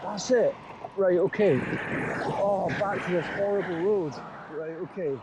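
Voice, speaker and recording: A man's voice: high-pitched, a little slow, dull audio, background noise. A man chatting casually and rambling with many fillers as he cycles along a coastal road. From Scotland. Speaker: vlogger cycling. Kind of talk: casual chat while riding. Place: outdoors on a bike by a coastal road.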